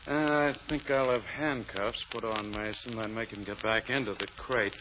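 An actor playing a vain, vindictive prosecutor in old radio drama style, pompous and self-satisfied. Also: male voice, lowish voice, steady tone, moderate pace, lightly acted. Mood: self-important. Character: vain, vindictive male prosecutor gloating over a capture. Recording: muffled, noisy old radio recording.

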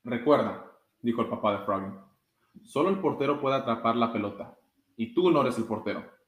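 A young man in his twenties reading a children's story aloud, a little haltingly. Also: medium pitch, animated. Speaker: soccer goalkeeper reading a children's book. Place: small room with blinds, indoors. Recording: roomy indoor webcam sound.

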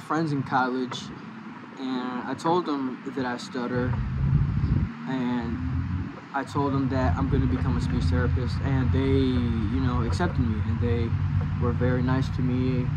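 Young guy stuttering thru a slow talk to camera in a park, cars going by behind. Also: medium pitch, flat delivery. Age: young adult. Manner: stutters with blocks and sound repetitions; slow, hesitant, many fillers. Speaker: vlogger. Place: under an outdoor park pavilion. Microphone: small handheld mic close to mouth. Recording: outdoor traffic noise.

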